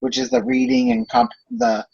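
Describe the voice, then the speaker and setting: A man's voice: extremely high pitch, flat delivery, normal speed, creaky, mellow, slightly rough, lo-fi sound. A young man in his twenties, a student chatting casually with classmates about courses on a video call, rambling through explanations with lots of fillers. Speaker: student in a group project.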